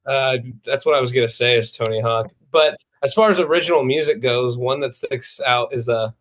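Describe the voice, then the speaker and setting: A man's voice: medium pitch, a little fast, fairly bright tone. A young man chatting as a band guest, easygoing and hesitant with lots of "uh" and "you know". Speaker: musician guest. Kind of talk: casual chat.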